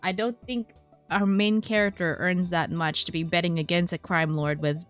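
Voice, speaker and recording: A woman's voice: lowish voice, fairly even intonation, normal speed, soft background music. A woman streaming a story game, reading its text aloud expressively with casual, wry asides. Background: game soundtrack.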